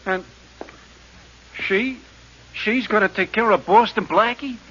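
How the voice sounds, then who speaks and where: Dopey obedient thug, plain slangy talk, forceful tone, muffled vintage radio serial. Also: male voice, high-pitched, slow pace, full character voice. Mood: incredulous. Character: slow-witted, obedient male gangster henchman. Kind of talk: 1940s radio acting. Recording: muffled, hissy 1940s radio recording.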